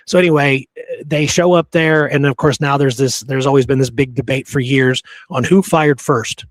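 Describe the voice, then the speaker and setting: A man's voice: medium pitch, fairly even intonation, normal speed, no room sound. Male history podcaster, mid-range voice, informal storyteller, slangy phrasing, very dry recording. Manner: informal, slangy, says dude and you know. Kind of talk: casual conversational history storytelling.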